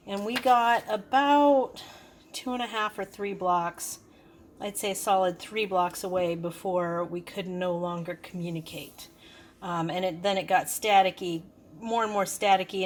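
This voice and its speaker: A woman giving a casual, hands-on product review, explaining things in a hesitant, unscripted way. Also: on the low side. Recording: clearly noisy background.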